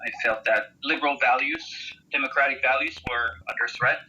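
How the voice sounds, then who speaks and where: A middle-aged American man from Texas recounting his experience in an interview in a measured, matter-of-fact way. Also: medium pitch, steady tone, sharp tone, lo-fi sound, a little hiss or hum. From Texas, United States. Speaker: interviewed military volunteer and veteran.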